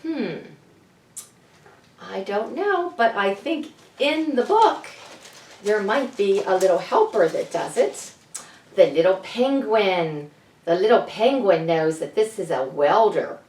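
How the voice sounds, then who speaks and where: A woman talks to young children as a storytime presenter, taking her time over each phrase. Her pitch moves up and down in a lively, teacherly way as she poses questions. She sounds set back from the microphone in a roomy space. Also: takes it slowly, slight background noise. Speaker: children's author presenting at a library story event. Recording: roomy echo, distant microphone.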